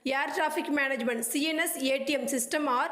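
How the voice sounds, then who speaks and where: A woman's voice: high-pitched, brisk, fairly bright tone. A woman with an Indian accent reading study notes aloud in a choppy way, dropping small connecting words. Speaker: presenter of an educational video. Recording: echoey room.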